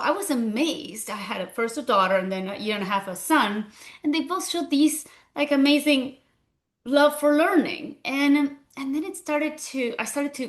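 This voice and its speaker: A woman in her forties from Argentina, speaking with an Argentine Spanish accent, describing her path from medicine to founding a school in an animated, earnest way with many fillers. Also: on the high side. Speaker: guest, school founder and physician. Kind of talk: conversational interview.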